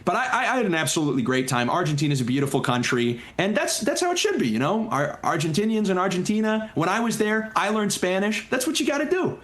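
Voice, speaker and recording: A man's voice: high-pitched, big pitch swings, quick pace, few pauses, fairly bright tone. Easygoing young man chatting as guest. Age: young adult. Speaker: talk show guest. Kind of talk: casual interview chat.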